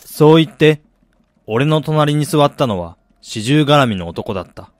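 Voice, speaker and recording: A man's voice: fairly high pitch, expressive, measured pace. An actor playing a weary, disillusioned man in a radio drama, with a brooding, self-deprecating way of talking. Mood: brooding, resigned. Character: weary, disillusioned man back in his dying hometown. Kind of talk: radio drama first-person narration and dialogue.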